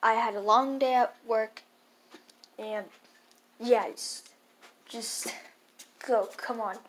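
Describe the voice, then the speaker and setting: A young performer playing a grumpy, tired father who scolds his son in a homemade skit, gruff and repeating his orders. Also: mid-range voice, steady tone, slow pace, a bit bright, a little hiss or hum.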